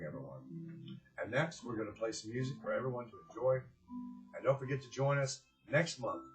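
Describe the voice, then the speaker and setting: A man's voice: on the low side, low-quality recording. Man reading to children over music. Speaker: children's story reader and host. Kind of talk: storybook reading aloud to children.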